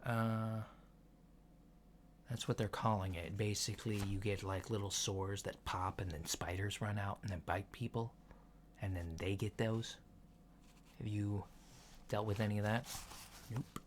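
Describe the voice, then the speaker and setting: Slow, soft-spoken alien doctor played by a man. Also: fairly low pitch, flat delivery, close to an everyday voice. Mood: matter-of-fact. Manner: halting, mild, matter-of-fact. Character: polite, curious alien doctor giving a checkup. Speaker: ASMR roleplayer. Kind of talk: soft-spoken ASMR roleplay. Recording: lo-fi with clear background noise.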